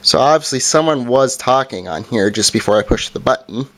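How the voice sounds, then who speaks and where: A man giving a casual hands-on product review, talking in a halting way and correcting himself as he goes. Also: medium pitch, animated, fairly bright tone.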